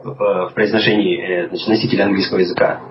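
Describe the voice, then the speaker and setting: A man talking in a relaxed conversation, thoughtful and hesitant, with many restarts as he explains things. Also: deep voice, racing. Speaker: guest. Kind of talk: casual conversation. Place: lives in England. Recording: slightly dull lo-fi audio with faint noise.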